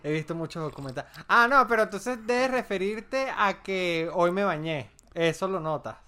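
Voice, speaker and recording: A man's voice: high voice, moderate pace, smooth. Venezuelan man, young adult, dry playful jokes, podcast co-host, casual chat. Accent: Venezuelan Spanish. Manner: deadpan, playful joking.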